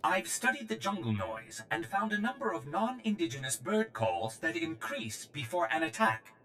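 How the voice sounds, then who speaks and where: Bright, lively protocol droid. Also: male voice, a little high, moderate pace, a little hiss or hum. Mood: earnest, precise. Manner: formal, precise. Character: polite, eager protocol droid translator. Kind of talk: video game character acting.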